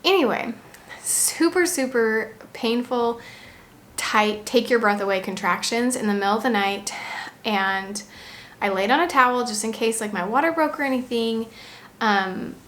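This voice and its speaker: A woman in her twenties from the United States, chatting casually to camera in a pregnancy vlog, laughing often and pausing to breathe through contractions. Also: a little high, fairly bright tone. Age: 20s. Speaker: vlogger.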